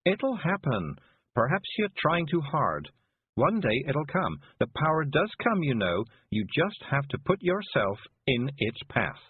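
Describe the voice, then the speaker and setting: A man voicing a kindly, patient woman witch who explains gently, with a husky voice. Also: on the high side, sing-song, measured pace, no echo, voice pushed up, exaggerated voice. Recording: dry tinny phone-like sound.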